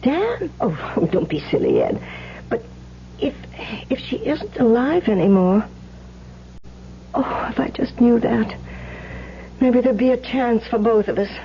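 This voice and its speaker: A woman's voice: a little high, sing-song, unhurried, pauses often. A woman playing an earnest, conflicted young woman in love in an old-time radio drama, emotional and hesitant, on a muffled, noisy recording. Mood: troubled.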